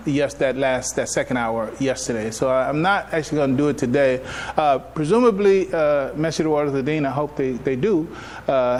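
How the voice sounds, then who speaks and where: A man giving a religious lecture as an imam, conversational and halting, repeating phrases and checking in with his listeners. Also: mid-range voice, a little hiss or hum. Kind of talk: religious lecture, explaining to an audience.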